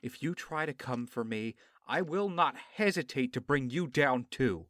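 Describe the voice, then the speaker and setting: A man's voice: medium pitch, animated, moderate pace, a bit bright, no echo, close to an everyday voice. A man playing a horrified business partner with a troubled conscience in an audio drama, indignant, pleading and emotional. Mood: resolute.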